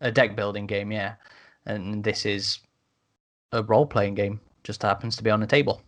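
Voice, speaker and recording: A man's voice: on the low side, fairly even intonation, moderate pace. A man hosting a podcast, steering a casual panel discussion. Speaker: podcast host moderating a panel.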